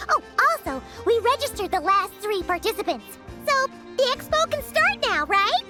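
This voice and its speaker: A woman voicing a tiny, excitable, childlike fairy companion in a video game, with a squeaky, chirpy delivery. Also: moderate pace. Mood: eager, sympathetic. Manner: refers to self in third person, chirpy. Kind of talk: animated game voice acting. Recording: music under the voice.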